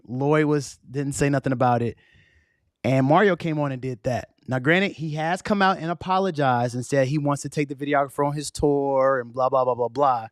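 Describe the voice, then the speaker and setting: Hoarse laid-back male podcast host. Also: a little high, no echo, clean studio sound. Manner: laid-back, slangy, conversational. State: losing his voice. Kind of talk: casual podcast chat.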